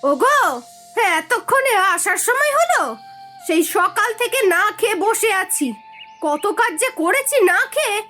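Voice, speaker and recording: A woman voicing a nagging, sharp-tongued village housewife in a cartoon story, complaining and sarcastic. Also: very high voice, animated, moderate pace, faint music behind, heavily acted. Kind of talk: Bengali cartoon story voice acting.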